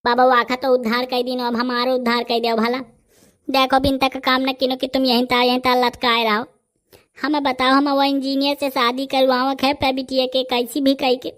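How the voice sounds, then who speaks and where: Man acting a high-pitched, gossipy Bundelkhandi villager. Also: completely flat delivery, normal speed, fairly bright tone. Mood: pleading, flattering. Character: excitable, gossipy male villager in a comedy cartoon. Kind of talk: rustic comedy cartoon dialogue.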